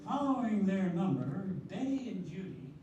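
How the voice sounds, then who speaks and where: A man's voice: high voice, big pitch swings, lo-fi sound. A man narrating and announcing a readers theatre show, heard from far off in an echoey hall. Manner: measured storytelling, grand announcing. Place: senior center hall. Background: loud music.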